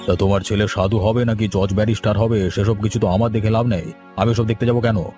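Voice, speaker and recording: A man acts as an older village headman with a low, gruff voice. He is curt and self-serving, in a horror cartoon style, with music playing clearly behind him. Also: fairly even intonation, quick pace, close to an everyday voice. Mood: callous. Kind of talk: cartoon horror drama acting.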